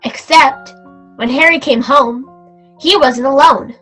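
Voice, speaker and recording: A woman's voice: high voice, takes it slowly, a bit bright, faint music behind. A woman giving scripted narration for an old-time style radio play.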